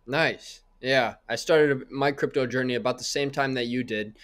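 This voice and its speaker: A man's voice: medium pitch, moderate pace, a bit bright. A young man hosting a podcast interview from a small room on a close mic, friendly and asking long, rambling questions. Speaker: podcast host. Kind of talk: casual interview.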